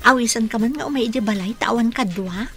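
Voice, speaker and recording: A coaxing young girlfriend in a radio drama, acted by a woman. Her voice is fairly high, with light music in the background. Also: fast talker, close to an everyday voice.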